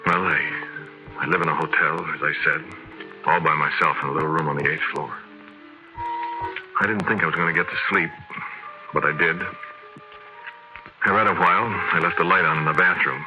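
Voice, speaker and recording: A haunted narrator in an old radio horror drama. He confides in a low, edgy, conversational voice over prominent music. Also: steady tone, fairly quick, long pauses, bright, forceful tone, heavily acted, poor audio. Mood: brooding, confiding. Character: haunted, shaken man confiding his eerie story. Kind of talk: 1940s radio drama first-person narration.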